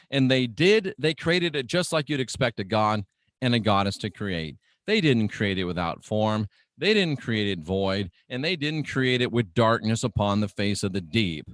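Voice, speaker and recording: A man's voice: medium pitch, a bit bright, no echo, studio quality. A middle-aged man preaching on the radio in a dramatic, emphatic teaching style, repeating phrases for weight. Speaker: radio preacher and show host.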